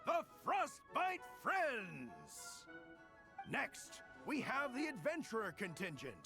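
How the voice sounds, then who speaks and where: An actor playing a formal, upbeat race referee in a video game, announcing in a grand theatrical way. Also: male voice, very high voice, huge pitch swings, creaky, music in the background, full character voice. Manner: grand, formal, drawn-out delivery. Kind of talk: theatrical event announcing in an anime-style game.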